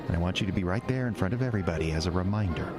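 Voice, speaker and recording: An actor playing an earnest, self-righteous young pastor with a scheming streak in an audio drama, speaking insistently. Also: male voice, deep voice, very fast, music in the background, natural voice. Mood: falsely cheerful.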